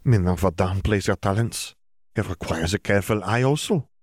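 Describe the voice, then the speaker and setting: A man's voice: deep voice, moderate pace, breathy edge, no echo, studio quality. Male narrator as proud witch official, female, formal, emphatic, insistent, audiobook character. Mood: flattering.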